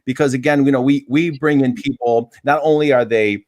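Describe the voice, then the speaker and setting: A man of middle pitch hosts a casual interview for a theater. He talks haltingly and restarts his sentences often. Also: fairly even intonation, darkish tone. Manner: halting, many restarts and fillers.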